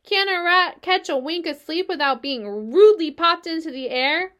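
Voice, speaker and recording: A woman's voice: high voice, very animated, normal speed, pushed and bright, pitched above the performer's own voice, full character voice. A woman voicing a lazy, self-pitying male rat who grumbles and mocks. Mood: grumpy. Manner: sarcastic, mocking, put-upon.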